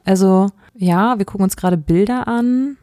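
Female podcast co-host, mid-pitched voice, very dry studio-like sound, relaxed review conversation. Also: moderate pace, no room sound. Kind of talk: casual review chat.

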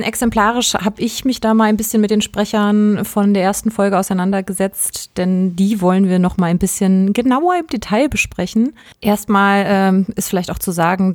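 A woman's voice: mid-range voice, darkish tone, no room sound, studio quality. A woman co-hosting a podcast in casual, semi-prepared talk, chatty and informative with plenty of detail. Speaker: podcast co-host.

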